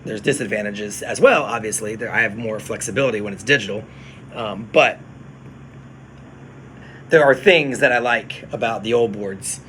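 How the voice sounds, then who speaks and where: A man who works as a radio DJ, chatting casually on a phone livestream from his studio, relaxed and explaining things to viewers. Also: fairly low pitch, moderate pace, pauses often, a little hiss or hum, low-quality recording.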